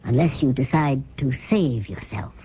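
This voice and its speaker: Husky, cold-blooded schemer, old radio. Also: female voice, very deep voice, lively intonation, normal speed, exaggerated voice. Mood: coolly persuasive. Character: cold, persuasive scheming girlfriend urging a crime. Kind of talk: 1970s radio drama acting. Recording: lo-fi phone-like old radio recording.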